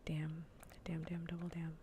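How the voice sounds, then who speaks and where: A woman whispering softly and breathily in an ASMR gaming stream, playfully bossy and dryly sarcastic, close to the microphone. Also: low voice, monotone, measured pace, slight background noise. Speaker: livestreamer.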